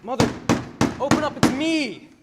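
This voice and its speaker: A young man playing an excitable, desperate family man in a radio play, recorded in an echoey hall. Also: very high voice, unhurried. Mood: bewildered. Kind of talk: filmed radio play acting, high school production. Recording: echoey hall, faint noise.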